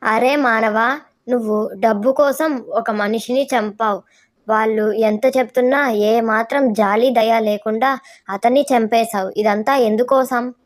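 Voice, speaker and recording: A reproachful ghost demon, voiced by a woman in a moral-story cartoon. The voice is very high and preachy, scolding like a stern moral teacher. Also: steady tone, unhurried, lightly acted.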